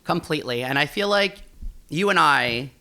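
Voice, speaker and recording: A man's voice: fairly high pitch, lively intonation, moderate pace, sharp tone. A man co-hosting a podcast in casual chat, gushing and slangy, with "like" sprinkled throughout. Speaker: podcast co-host.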